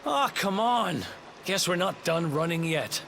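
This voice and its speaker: Cocky young thief, high voice with a lively swing, dry sarcastic quips. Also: male voice, a little slow, creaky, slight background noise, full character voice. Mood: wry. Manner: quippy, sarcastic asides. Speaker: game character. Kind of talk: video game cutscene voice acting.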